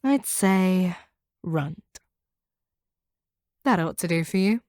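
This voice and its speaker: Woman playing a low-voiced, domineering monster hunter. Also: animated, a little mellow, no echo, studio quality. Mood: cold, menacing. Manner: domineering, condescending, measured. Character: proud, cold, bitter monster hunter woman taming a captive. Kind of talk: scripted audio roleplay monologue.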